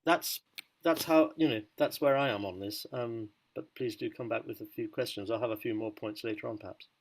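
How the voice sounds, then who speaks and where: A man's voice: medium pitch, brisk, rough recording. A man speaking enthusiastically and persuasively on an online panel. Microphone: video call.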